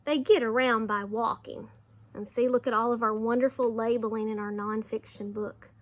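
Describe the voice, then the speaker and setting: A woman teacher reading aloud to young children, expressive, with simple explanations along the way. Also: on the high side, normal speed.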